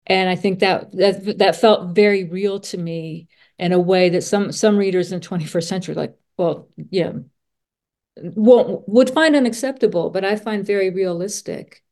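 A woman's voice: medium pitch, moderate pace, mellow. A woman podcast host chatting casually in an interview, thinking aloud and often rephrasing as she goes. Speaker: children's author.

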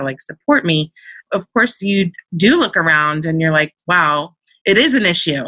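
A woman's voice: on the low side, sharp tone, dry recording, low-quality recording. A woman, a podcast guest, telling personal stories in a chatty way with lots of filler words. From Central Virginia, USA. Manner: chatty storytelling, lots of "like" and "you know". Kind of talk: casual interview chat.

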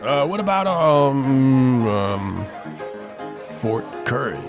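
An actor playing a nervous but persistent young reporter in a western audio drama, halting and pleading. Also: male voice, mid-range voice, big pitch swings, exaggerated voice. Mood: hesitant. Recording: music behind the voice.

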